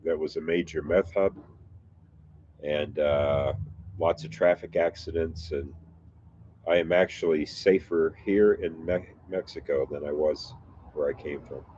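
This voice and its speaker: Deep-voiced man, a bit nasal from allergies. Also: steady tone, a little mellow, dull audio, slight background noise. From United States. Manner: rambling, halting, self-correcting. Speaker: YouTube reaction channel host. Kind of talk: casual chat with viewers. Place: home in a Mexican town.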